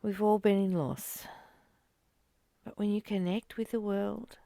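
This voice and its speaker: A woman giving a reflective spiritual teaching, reading and commenting in short, earnest, gently urging phrases with pauses. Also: mid-range voice, fairly even intonation, gravelly, no room sound, clean studio sound.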